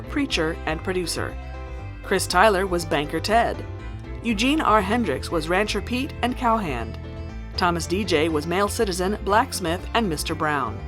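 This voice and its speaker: A woman announcer reading scripted closing credits for an audio drama. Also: on the low side.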